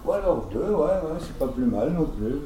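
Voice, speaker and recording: A man's voice: a little high, lively intonation, moderate pace, music in the background, poor audio. A man who runs a laundromat, chatting casually in an interview, often stumbling and repeating words. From Portugal. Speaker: laundromat owner.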